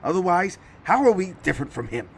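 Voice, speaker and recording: A man playing a principled police captain in an audio drama, earnest, measured and firm. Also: sits high, sing-song, vocal fry, slight background noise, exaggerated voice.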